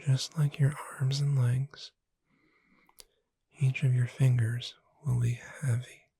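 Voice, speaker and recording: A woman playing a gentle witch bookseller in a breathy, soothing, whispered ASMR sleep roleplay. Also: very deep voice, flat delivery, dry recording, full character voice. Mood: calm, soothing. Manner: slow, soothing, deliberate. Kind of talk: soft-spoken ASMR sleep roleplay, guided relaxation.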